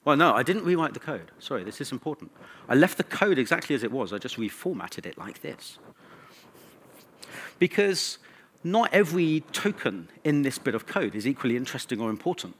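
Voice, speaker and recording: Male conference presenter, mid-pitched voice, informal and conversational delivery with dry, wry asides. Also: big pitch swings, fairly quick, slight background noise. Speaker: conference speaker. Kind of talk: conference talk.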